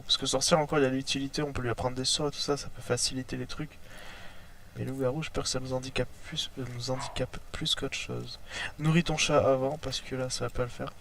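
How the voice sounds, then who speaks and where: Homme enrhumé commentant un jeu. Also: male voice, medium pitch, creaky, a bit bright, a little hiss or hum. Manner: chatty, talks to his sim and viewers. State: has a cold, congested throat. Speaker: let's play host. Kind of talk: casual gameplay commentary.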